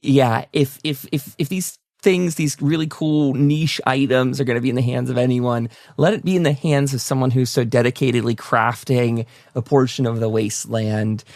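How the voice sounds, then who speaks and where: A man's voice: medium pitch, fairly even intonation, moderate pace, vocal fry, few pauses, a little mellow, no echo, clean studio sound. A man hosting a casual talk show, speaking in an effusive, appreciative manner to guests and listeners. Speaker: host of a fan talk show. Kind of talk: casual interview and sign-off to listeners.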